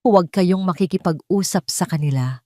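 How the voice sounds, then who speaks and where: A woman's voice: fairly low pitch, moderate pace, darkish tone, dry recording, studio quality, close to an everyday voice. A woman voicing a distressed, protective mother in short, emphatic sentences. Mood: urgent. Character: distressed, protective young mother, wounded and defiant.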